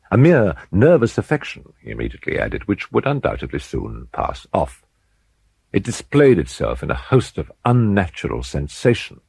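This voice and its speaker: Male audiobook reader for gothic horror: low, husky voice, solemn and theatrical, recorded dry. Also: a little mellow, no room sound. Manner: solemn, deliberate, theatrical phrasing. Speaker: audiobook narrator. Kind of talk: scripted dramatic narration of gothic fiction.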